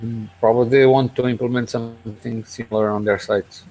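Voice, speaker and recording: A man co-hosting a casual tech podcast over an online call, speaking in a hesitant, halting way. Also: medium pitch, little pitch movement, noisy background. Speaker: podcast co-host. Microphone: online video hangout.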